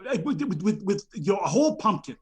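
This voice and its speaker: High-pitched guy ranting all aggrieved, tripping over his words a lot. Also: big pitch swings, fast, breathy edge. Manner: indignant, rambling, stammers with many fillers. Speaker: nominee on a comedy show. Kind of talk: improvised comedy rant.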